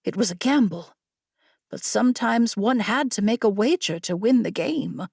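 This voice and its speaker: A woman reads dramatic fiction in a fairly high, very expressive voice. She takes her time with measured, suspenseful phrasing, and the sound is dry and close. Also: vocal fry, slightly rough, no echo. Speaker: audiobook narrator. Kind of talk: dramatic scripted fiction narration.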